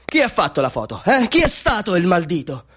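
A man's voice: high voice, wildly swooping pitch, fairly quick, fairly bright tone, voice pushed up, full character voice. Man as a barking, angry soldier. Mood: furious. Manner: barking, menacing. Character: angry barking male soldier hunting a culprit.

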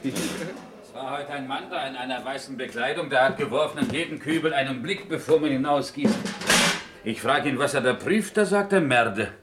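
A man's voice: mid-range voice, normal speed, lightly acted. Fussy, puzzled prisoner on noisy recording, acted by a man. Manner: quaint inverted phrasing. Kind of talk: German radio play acting. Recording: clearly noisy older radio recording.

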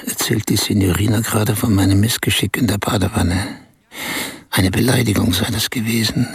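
An actor playing a desperate, battered man who tells of his humiliation in short, halting phrases in a radio play. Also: fairly low pitch, vocal fry, slightly rough, no room sound, clean studio sound, heavily acted. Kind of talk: radio play acting, storytelling.

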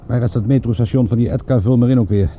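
An actor playing a wry, weary private detective in a radio drama, speaking in a dry, sardonic and understated way. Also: male voice, mid-range voice, fast talker, a little hiss or hum, lightly acted. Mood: dry banter. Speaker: actor playing narrator and lead. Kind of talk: radio drama acting and first-person narration. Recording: muffled, thin phone-like radio recording.

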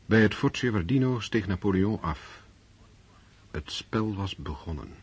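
A man acting as the sober narrator of a historical war story in a radio play, measured and reflective. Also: lowish voice, expressive, breathy edge, full character voice. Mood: calm, scene-setting.

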